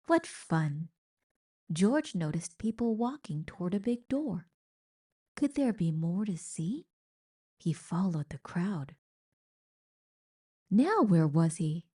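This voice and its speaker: A woman reading a children's picture book aloud in an expressive, deliberate storytelling manner. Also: mid-range voice, breathy edge. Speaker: storybook reader.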